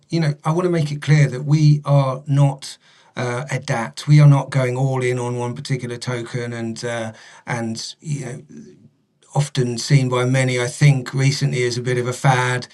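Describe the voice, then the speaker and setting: Male company director: mid-range voice, flat intonation, explanatory answers in halting, broken phrases. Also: mid-range voice. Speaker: company director being interviewed. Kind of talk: business interview, explanatory.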